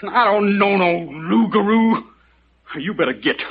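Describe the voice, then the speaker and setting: An actor playing a stubborn young bayou outcast, terse and plain-spoken, in an old radio drama. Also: male voice, sits high, animated, unhurried, husky, exaggerated voice. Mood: defiant. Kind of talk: 1940s-50s radio drama acting. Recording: muffled, hissy old radio recording.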